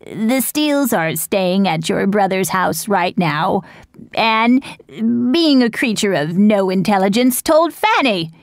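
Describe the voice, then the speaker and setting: A woman's voice: medium pitch, lively intonation, slow, no room sound, clean studio sound. A woman voicing an outspoken, gossipy older widow who talks in a blunt, chatty way.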